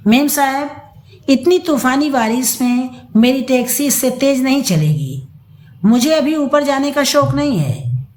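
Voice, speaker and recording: A woman's voice: high-pitched, expressive, measured pace, darkish tone. Blunt taxi driver man voiced by a woman. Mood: irritated. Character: irritable, proud male taxi driver, gruff but decent.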